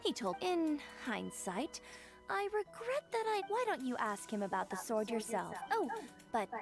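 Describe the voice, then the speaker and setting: Casting: courteous, knowing female game merchant, highish animated voice, formal phrasing, music underneath. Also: a little slow, gravelly, heavily acted. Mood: polite, knowing. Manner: polite, explanatory, formal wording. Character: polite, composed woman merchant who shares information knowingly. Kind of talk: video game voice acting.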